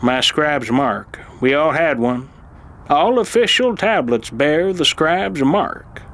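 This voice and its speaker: Irascible old uncle, acted by a man, slightly high voice, slow halting delivery, urgent and desperate, audio drama. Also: expressive, a bit bright, exaggerated voice. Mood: insistent. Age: older. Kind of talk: dramatized audio fiction acting.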